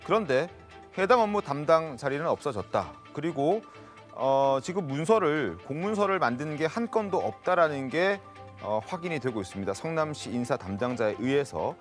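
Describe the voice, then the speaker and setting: Male news talk host, animated pitch. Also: normal speed, soft background music. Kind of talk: broadcast news presenting and questioning panelists. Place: TV studio.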